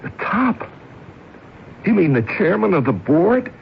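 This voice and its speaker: A man's voice: high-pitched, big pitch swings, measured pace, gravelly, heavily acted. An actor playing an anxious, bewildered middle-aged office man, hesitant and deferential, in a 1970s radio drama. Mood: agitated, bewildered. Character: anxious, bewildered fired company man, meek and pleading. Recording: muffled, noisy old radio broadcast.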